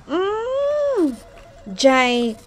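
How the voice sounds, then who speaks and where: An actress playing a playful, teasing young office colleague in a radio drama, with a Filipino accent. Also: female voice, extremely high pitch, sing-song, drawn-out words, soft background music, full character voice. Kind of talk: Ilocano radio drama acting.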